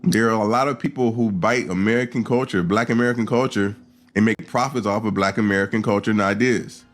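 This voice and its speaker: A man's voice: on the low side, fairly even intonation, a little fast. A man speaking emphatically and proudly in casual commentary, repeating his points.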